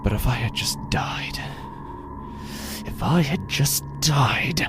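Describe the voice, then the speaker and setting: A man playing an anguished, rotting living corpse in a horror audio drama, speaking haltingly, repeating himself and dropping into whispers. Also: medium pitch, expressive. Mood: anguished questioning. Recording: music behind the voice. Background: music.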